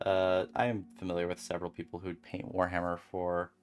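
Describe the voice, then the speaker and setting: A streamer chatting casually and calmly while beading at a home desk, rambling gently with many fillers. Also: deep voice, fairly quick, soft background music. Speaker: crafting streamer.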